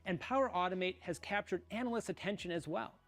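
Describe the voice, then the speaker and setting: A man giving a scripted conference presentation as a product executive, polished and even. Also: sits high, fast talker, faint music behind.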